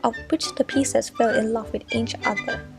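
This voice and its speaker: Young student girl explaining project steps, slightly high voice, faint music under it. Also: steady tone, normal speed, vocal fry. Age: teens to young adult. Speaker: student presenter. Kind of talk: scripted explanatory narration. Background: background music.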